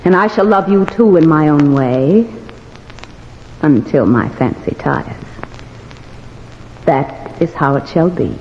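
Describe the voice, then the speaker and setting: A woman's voice: low-pitched, animated, takes it slowly, long pauses, exaggerated voice. A woman playing a vengeful, possessive ghost bride in an old-time radio horror drama, imperious and taunting. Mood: gloating, possessive. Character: vengeful, possessive, haughty female specter bride. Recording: muffled, noisy old radio recording, long reverb.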